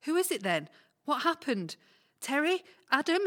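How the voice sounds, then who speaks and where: A woman's voice: high voice, big pitch swings, normal speed, sharp tone, exaggerated voice. A woman playing an anxious, groggy Scottish patient. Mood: alarmed. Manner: questioning, earnest. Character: anxious, groggy injured woman pressing for answers. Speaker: community cast actor. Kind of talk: community audio drama, naturalistic dialogue.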